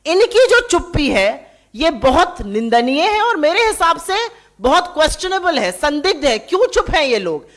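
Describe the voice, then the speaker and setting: A woman's voice: high-pitched, very animated, moderate pace. A woman spokesperson making an emphatic, accusatory press conference statement, pressing her points with rhetorical questions. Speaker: political party spokesperson.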